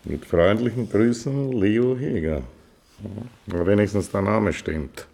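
Sly village official for radio drama: sardonic drawl, gravelly fry, highly animated pitch. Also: male voice, takes it slowly, full character voice. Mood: smooth, sly. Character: smug, scheming small-town official, mocking and slippery.

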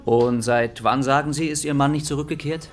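An actor playing a courteous police officer in a German radio drama, businesslike and reassuring. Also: male voice, medium pitch, steady tone, moderate pace, a little hiss or hum, lightly acted.

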